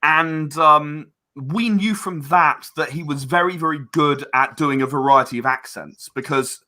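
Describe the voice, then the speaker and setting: Halting man with a bright, higher voice. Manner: halting, rambling. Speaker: interview guest, audio drama producer. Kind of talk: casual podcast interview.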